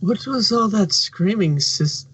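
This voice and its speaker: A man's voice: high voice, lively intonation, slow, fairly bright tone, slightly rough, exaggerated voice. A man playing a sleepy younger alicorn princess in a fan fiction reading. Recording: muffled lo-fi home recording.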